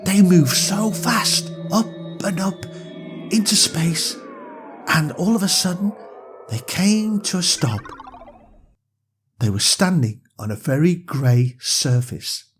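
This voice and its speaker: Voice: medium pitch, lively intonation, slow, faint music behind. A storyteller narrating a scripted bedtime story for young children.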